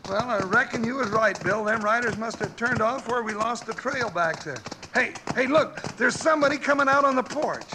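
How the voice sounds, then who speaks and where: An actor playing a folksy, excitable, always-hungry cowboy sidekick with a blustery American Western drawl, in a radio western. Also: male voice. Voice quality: gravelly, high-pitched. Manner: blustery, rustic, drawn-out exclamations. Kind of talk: 1950s radio western acting. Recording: noisy old-time radio recording.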